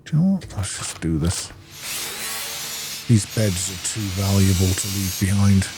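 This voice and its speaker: A man giving casual, low-key gameplay commentary, musing and thinking aloud to himself. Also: unhurried, noisy background. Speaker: gaming video host.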